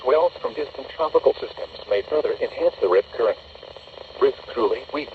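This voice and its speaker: An American male announcer with a synthetic, measured delivery reads an automated weather bulletin. The voice comes from a small radio speaker some way from the mic, so it is dull and lo-fi, with faint noise around it. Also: mid-range voice, lively intonation, distant mic. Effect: heard through a small radio speaker.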